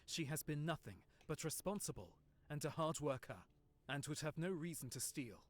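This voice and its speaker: A man's voice: on the high side, lively intonation, normal speed, lightly acted. A man acting an earnest, protective young prince with regrets, in a visual novel game. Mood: firm, protective. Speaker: game character.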